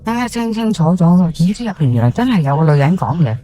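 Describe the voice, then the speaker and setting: A woman plays a housewife in her sixties from an old housing estate, with a Hong Kong accent and a slightly low voice. She is chatty and superstitious, spinning an audio-drama ghost tale like neighborhood gossip, full of drawn-out particles and exclamations. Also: sing-song, mellow, slight background noise. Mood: gossipy, spooked. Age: 60s. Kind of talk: first-person ghost story monologue for audio drama.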